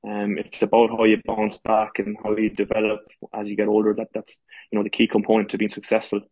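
A man's voice: fairly low pitch, monotone, a little fast, darkish tone, breathy edge, no room sound, highs cut off. An Irish man in his thirties, a footballer and coach talking as a podcast guest, with many restarts and fillers. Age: 30s. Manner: many false starts and fillers. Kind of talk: casual interview talk.

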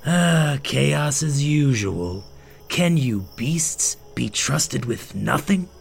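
A haughty male villain for radio drama. He taunts with sardonic condescension in a slow, creaking voice over a faint music bed. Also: medium pitch, animated, exaggerated voice. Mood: haughty contempt. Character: captive monster hunter, haughty, contemptuous villain.

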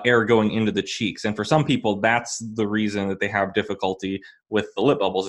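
A man hosting a podcast, explaining singing exercises in a relaxed, easygoing way. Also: lowish voice. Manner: relaxed, thinks aloud with fillers. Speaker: podcast host, vocal coach. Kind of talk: casual teaching chat.

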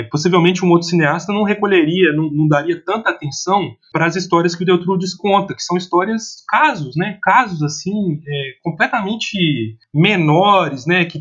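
A Brazilian man discussing film analytically off the cuff, haltingly, repeating words and often adding tag questions. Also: fairly high pitch, a bit bright, muffled recording. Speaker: film commentator.

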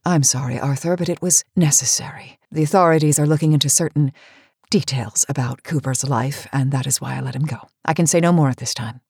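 A woman's voice: sits low, a little fast, a little mellow, breathy edge, dry recording, studio quality. An actress playing an imperious, melodramatic and desperate female executive in a campy audio drama. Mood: clipped, guarded. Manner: commanding, melodramatic. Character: imperious, desperate woman cosmetics executive obsessed with youth. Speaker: voice actor.

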